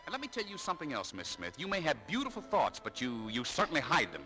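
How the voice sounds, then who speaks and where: An actor playing a proud, needling, easily stung male shop clerk in a 1940s radio drama, wry and quick to indignation. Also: on the high side, huge pitch swings, sharp tone, full character voice. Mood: indignant. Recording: vintage 1940s radio broadcast, music behind.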